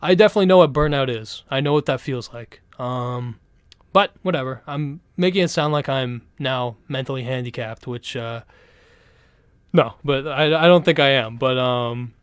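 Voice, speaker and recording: Young canadian dude rambling on a livestream, swears a lot, smooth clean voice, dry close mic. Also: male voice, medium pitch, normal speed, dry recording. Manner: rambling, profane, laid-back, full of restarts. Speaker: streamer. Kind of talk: casual live-stream chat.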